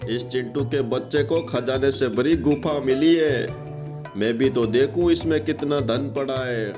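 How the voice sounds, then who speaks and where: A man's voice: medium pitch, a little slow, music in the background, close to an everyday voice. An actor voicing a greedy middle-aged male moneylender in a cartoon, blustering and scheming. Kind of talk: cartoon voice acting.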